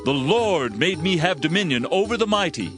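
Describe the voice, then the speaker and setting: Exultant biblical commander, high declaiming voice with big swings of pitch. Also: male voice, sing-song, moderate pace, music in the background. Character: Barak, triumphant Israelite war leader singing praise. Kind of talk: dramatized Bible reading.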